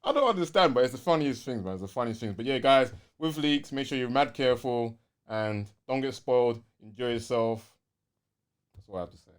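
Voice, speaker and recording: A man with a London accent co-hosting a gaming podcast in casual, slangy, animated chat. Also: medium pitch, brisk, clean tone. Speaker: podcast co-host.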